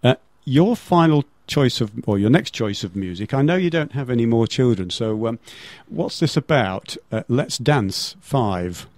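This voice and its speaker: A man's voice: medium pitch, lively intonation, measured pace, hardly any pauses, a little mellow, dry recording. A man hosting a casual local radio interview in a jokey, bantering way. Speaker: radio show host. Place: radio studio.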